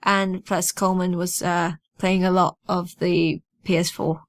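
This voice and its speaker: A woman's voice: fairly low pitch, level tone, a little slow, no echo. A woman hosting a podcast in casual chat, with an informal, wry manner. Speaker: podcast host.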